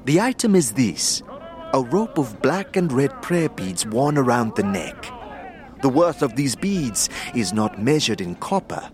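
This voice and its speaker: A man's voice: mid-range voice, sing-song, unhurried, soft background music. A man voicing a male warrior monk bound by a vow of peace, speaking stiffly and formally of himself as "this one". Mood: solemn. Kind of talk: tabletop audio drama.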